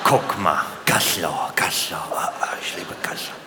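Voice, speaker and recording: A man's voice: low-pitched, measured pace, in a whisper, voice pushed down. A man playing a starving, food-obsessed dieter in a stand-up act, muttering dreamily and desperately and repeating himself. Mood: craving. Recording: echoey hall, noisy.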